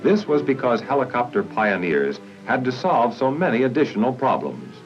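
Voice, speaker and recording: An American man reading formal, instructional narration in a measured way for a 1950s military training film, on a muffled, noisy old soundtrack. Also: mid-range voice, very animated, soft background music.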